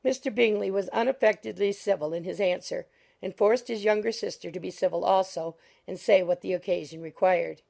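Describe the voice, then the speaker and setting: A woman reading literary narration as an audiobook narrator, measured and formal. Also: medium pitch, creaky, darkish tone.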